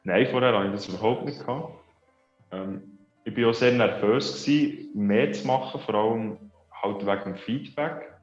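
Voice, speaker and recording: A man's voice: lowish voice, normal speed. A man with a Swiss German accent speaks in a reflective, hesitant way as an interview guest. The recording sounds roomy and a little dull, with faint music underneath. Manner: reflective, hesitant, thinking aloud. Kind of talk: casual interview chat.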